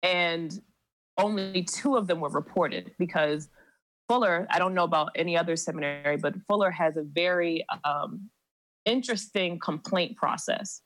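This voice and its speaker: A woman guest on a podcast, telling a personal story in a measured way with many pauses. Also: lowish voice, a bit bright, dry recording, low-quality recording. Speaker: seminary student. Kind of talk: recounting a personal story in conversation.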